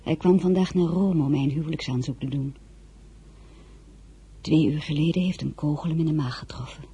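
Young woman telling her own story in a radio play, really breathy husky voice, soft and dark. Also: deep voice, normal speed. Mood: grave, recounting. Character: young woman narrating her life, sorrowful, pitying. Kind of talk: dramatic first-person narration.

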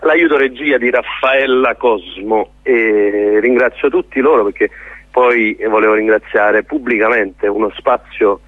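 A man interviewed by telephone, heard through a narrow, dry line. He talks earnestly as a theatre director, often pausing and rephrasing while he looks for the right word. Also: mid-range voice, no echo. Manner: hesitant, searching for words, earnest. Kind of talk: spontaneous radio interview. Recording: narrow tinny phone line.

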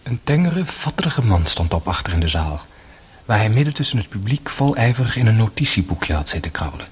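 A man's voice: fairly low pitch, very animated, a little fast, husky, exaggerated voice. A man narrating a radio play in a literary storytelling style.

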